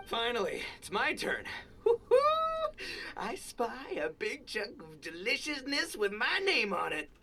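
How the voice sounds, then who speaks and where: A boastful, big-hearted young gang boss from a game. The voice is pitched high and bright, swooping theatrically with brash swagger. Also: male voice, huge pitch swings, measured pace, a little hiss or hum, full character voice. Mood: eager. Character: loud, boastful, good-natured young male gang boss. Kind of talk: video game voice acting.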